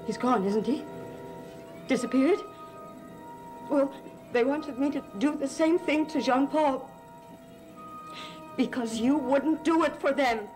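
High-voiced, frightened young woman. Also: slow pace, pauses often, a little mellow. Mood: anxious, pleading. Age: young adult. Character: frightened, defiant young woman accusing a witch coven. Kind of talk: 1960s gothic soap opera acting. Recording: old TV soundtrack with background music.

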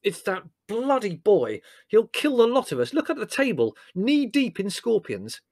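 A man's voice: high-pitched, huge pitch swings, normal speed, darkish tone, dry recording, clean studio sound, voice pushed up, heavily acted. A man voicing an exasperated, domineering young man in blustering, roaring outbursts for a storybook. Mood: outraged.

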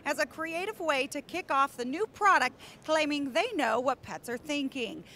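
Bright high-voiced woman news host. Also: animated, moderate pace, a little hiss or hum. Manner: crisp, upbeat broadcast delivery. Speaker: show host reporter. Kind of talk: scripted news presenting. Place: convention hall show floor. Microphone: handheld mic.

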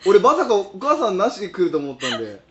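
Male radio host, very rapid and lively casual banter, agreeable, lo-fi sound with background noise. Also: high-pitched, over a noisy crowd. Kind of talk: casual, joking post-show chat. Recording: dull audio with clear background noise.

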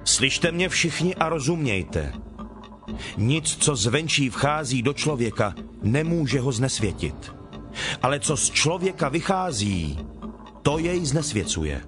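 A stern, authoritative teacher of faith, played by a male actor speaks in a dramatized scripture reading. His mid-pitched voice is solemn and earnest, with measured, emphatic delivery. Music plays clearly behind him. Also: big pitch swings, natural voice. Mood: earnest, instructing.

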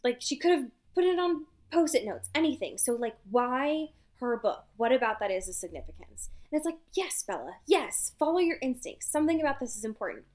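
A woman co-hosting a podcast, casually recapping a story in an animated way and acting out bits of dialogue. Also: high-pitched, a little fast, hardly any pauses. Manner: animated retelling, acts out dialogue. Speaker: podcast co-host. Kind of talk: casual chat recapping a novel.

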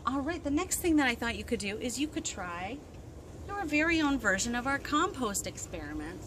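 A woman's voice: high-pitched, very animated, normal speed. A woman in her thirties hosting a children's storytime outdoors, talking casually and encouragingly to young kids as she explains activities. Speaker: children's librarian and storytime host. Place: outdoors on a backyard deck. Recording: outdoor recording with wind and ambient noise.

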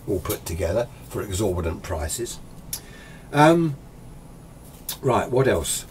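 Older British man, low voice, chatty explainer. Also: a little mellow, a little hiss or hum. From United Kingdom. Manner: opinionated, chatty, rambling. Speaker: amateur radio hobbyist presenting a tutorial. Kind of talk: informal off-the-cuff explainer. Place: small indoor radio workshop.

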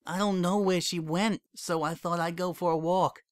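A man voicing a heartbroken young female pony who speaks in a cold, flat tone that breaks into sobbing, in a dry recording. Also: sits high, moderate pace, clear, resonant tone, dry recording, pitched above the performer's own voice, lightly acted. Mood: flat, bitter.

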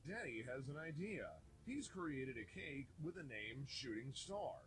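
Guy narrating a little kids game, slow pace, really animated pitch, simple scripted instructions. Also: a little hiss or hum. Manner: simple instructional phrasing for young children.

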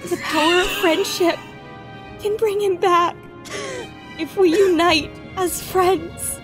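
A woman plays a sugary, earnest hedgehog girl in a cartoon comedy dub. She speaks slowly in a high voice, with clear music behind her. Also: fairly even intonation. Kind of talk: improvised comedy fandub.

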